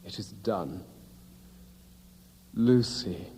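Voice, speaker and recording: A man playing a grief-stricken, horrified gentleman in a radio drama, speaking in an anguished, halting way. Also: lowish voice. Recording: noisy, long cavernous reverb.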